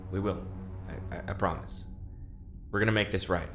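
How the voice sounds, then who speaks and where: A man's voice: sits low, fairly even intonation, normal speed, noisy background. A man playing a casual, awkward escape leader in an audio drama, speaking with stammering, conversational hesitancy. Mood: anxious, reassuring. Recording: echoing room, music behind.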